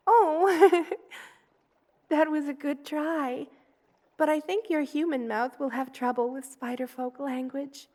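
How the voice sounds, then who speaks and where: A doting monster mother, the queen of spider folk, played by a woman, speaks in a high voice at a very slow, unhurried pace. She is tender and cooing, soothing the listener in the intimate style of an ASMR roleplay. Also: steady tone, warm tone. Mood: playful, doting. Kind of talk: ASMR roleplay, personal attention.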